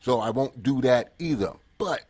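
A man's voice: medium pitch, animated, normal speed, darkish tone, slightly rough. A man co-hosting a casual talk show, speaking bluntly and swearing heavily as he chats. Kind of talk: casual chat.

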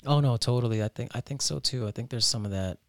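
A man in his thirties from Miami, born in Peru, talking casually and haltingly as an interview guest, often saying "you know". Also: mid-range voice, no room sound, studio quality. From Miami, United States, born in Peru. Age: 30s. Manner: informal, halting, thinks aloud with frequent "you know". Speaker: interview guest, restaurant owner.

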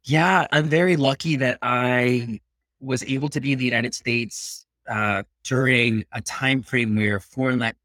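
A man's voice: mid-range voice, steady tone, a little slow, fairly bright tone, no echo. Peruvian-born guy in his 30s, earnest and reflective interview guest, dry close sound. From Peru. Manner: reflective and earnest, slips into Spanish. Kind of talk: casual interview conversation.

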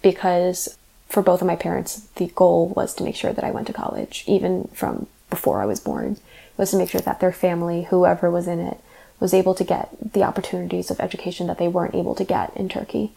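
A young woman who grew up in the United States, a college student telling her own story in a measured, thoughtful way. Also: lowish voice, expressive, vocal fry, warm tone, breathy edge, poor audio. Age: young adult. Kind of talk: reflective personal narration.

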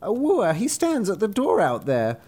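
A man voicing an eager female cat maid in a storybook, with a high sing-song voice. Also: huge pitch swings, moderate pace, darkish tone, full character voice.

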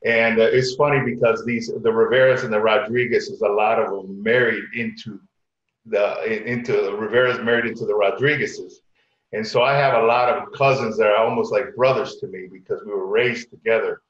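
A middle-aged man from Connecticut telling personal stories casually as a podcast guest, haltingly, often repeating words. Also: lowish voice, steady tone, fairly bright tone. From Connecticut, United States. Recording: echoey room, dull lo-fi sound.

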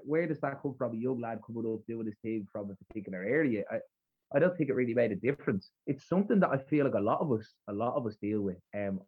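A young man with a rough north Dublin accent talks quickly and casually, wandering through his thoughts with fillers and restarts. His voice is husky, and it comes through a thin, phone-like line. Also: mid-range voice. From Dublin, Ireland. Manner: rambling, lots of fillers and restarts. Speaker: podcast guest, spoken word poet. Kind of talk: casual interview chat. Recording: husky voice over a tinny remote line.

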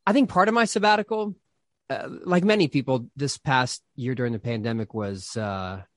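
A man's voice: mid-range voice, moderate pace, no room sound. Casting: man, 30s, pastor, loose and chatty, dry close mic. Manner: chatty, rambling with many fillers and restarts. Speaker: pastor and author. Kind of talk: casual interview conversation.

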